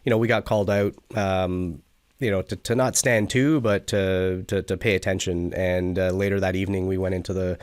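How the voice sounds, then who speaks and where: Very deep-voiced Canadian man, close and dry. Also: steady tone, normal speed, darkish tone, smooth, dry recording. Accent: Canadian English. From Toronto area, Canada. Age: 40s. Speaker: podcast guest, military veteran and company CEO. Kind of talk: conversational interview answers.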